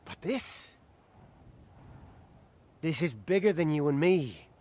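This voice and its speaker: Roguish game adventurer. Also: male voice, a little high, animated, takes it slowly, mellow. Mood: pleading. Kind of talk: cinematic video game acting.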